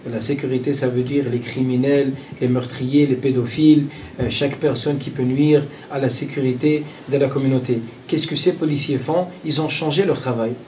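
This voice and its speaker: Man from Belgium, interview archive clip, halting and repetitive, dark tone, slightly off-mic. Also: mid-range voice, steady tone, distant mic, slight background noise. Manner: halting, many fillers and repeated words. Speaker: interviewee, group spokesman.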